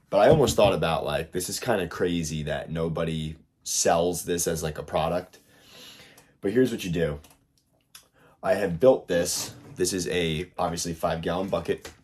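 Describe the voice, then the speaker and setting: A young man with a very deep voice, audibly hoarse as he recovers from being sick. He talks loosely through a hands-on tutorial, filling gaps with ums and drifting into side remarks. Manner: loose, rambling, lots of fillers. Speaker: RC hobby video host. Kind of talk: casual how-to tutorial.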